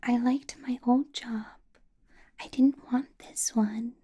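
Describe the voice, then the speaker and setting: A woman voicing a doting, possessive vampire boss in a soft, breathy ASMR whisper, intimate and coaxing with quiet menace. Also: a little high, fairly even intonation, slow pace. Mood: coaxing, veiled menace.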